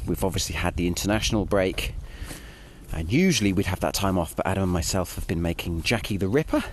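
A man's voice: low-pitched, fast talker, slight background noise. A British man recording a casual audio diary, talking in a wry, self-deprecating and hesitant way. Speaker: podcast writer and host. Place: outdoors on a walk.

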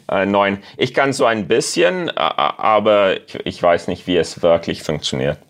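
Interview guest: South African man, Afrikaans accent, fairly low voice, halting German, lots of fillers. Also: expressive, fairly bright tone. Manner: halting, searching for German words with many fillers. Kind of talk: casual interview.

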